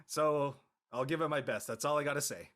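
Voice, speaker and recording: Halting man introducing himself. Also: on the high side, a bit bright. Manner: halting, repeating words. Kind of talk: casual introduction on a group call. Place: online group video call.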